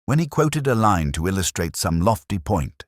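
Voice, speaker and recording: A middle-aged man narrating a first-person detective story in a measured, reflective, literary manner. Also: deep voice, sing-song, no echo, studio quality.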